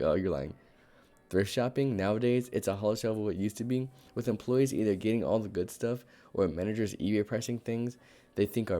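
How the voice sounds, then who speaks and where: Hoarse young guy reading reddit on a live stream, kinda low and mellow, chatty rambly asides. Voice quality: raspy.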